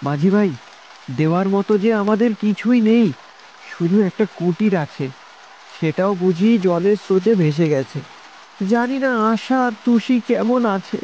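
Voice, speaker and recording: Actor as anxious poor father, middle-aged, cartoon, pleading, sorrowful, medium pitch, light rainy noise. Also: animated, moderate pace, a little mellow, muffled recording, lightly acted. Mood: desperate pleading. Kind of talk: cartoon story dialogue. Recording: noisy, with rain behind.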